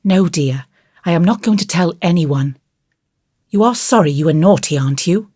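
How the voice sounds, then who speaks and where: A woman voicing a gentle, grave young woman who patiently comforts and admonishes a child in an audiobook. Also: fairly low pitch, expressive, moderate pace, no echo, studio quality. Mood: kindly admonishing. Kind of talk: audiobook character voice.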